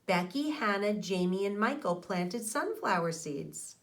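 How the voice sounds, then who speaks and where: Slow, expressive woman teacher reading aloud. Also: medium pitch, a little hiss or hum. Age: middle-aged. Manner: expressive, addressing children directly. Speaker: elementary school teacher. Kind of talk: read-aloud lesson for young children. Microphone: microphone on a boom arm close to mouth.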